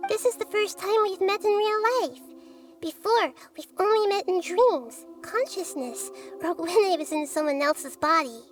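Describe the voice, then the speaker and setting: A woman voicing a gentle, wise, childlike goddess in a video game, speaking in a soft girlish voice, thoughtful and measured. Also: extremely high pitch, animated, faint music behind. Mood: grateful, reflective.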